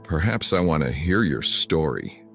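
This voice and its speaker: A man voicing a stern, calm French swordsman of the Middle Ages in an audio story, questioning in a measured, challenging way. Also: a bass voice, vocal fry, soft background music, voice pushed down, heavily acted. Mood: calm, probing.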